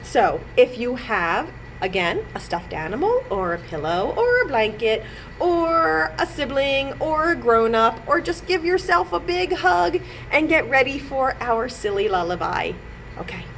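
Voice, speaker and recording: A woman's voice: sits high, unhurried, low-quality recording. A woman presenting a library storytime for children, playfully talking, reading aloud and singing straight to her young audience, with noise in the recording. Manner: animated, playful, talks directly to young children. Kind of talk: children's storytime with read-aloud and sing-along.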